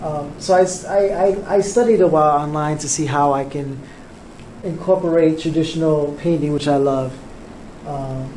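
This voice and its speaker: Soft-spoken New artist in a roomy studio. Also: male voice, fairly high pitch, fairly even intonation. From Cuba, raised in New York. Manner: soft-spoken, unhurried, with frequent ums. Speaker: visual artist, interviewee. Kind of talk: relaxed interview answers. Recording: roomy studio, faint background noise.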